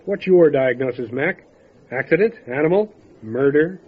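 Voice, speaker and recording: A man playing a calm, dry, matter-of-fact country sheriff in an old-time radio drama. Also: a little high, normal speed, husky. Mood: grimly matter-of-fact. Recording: dull lo-fi radio drama recording, faint noise.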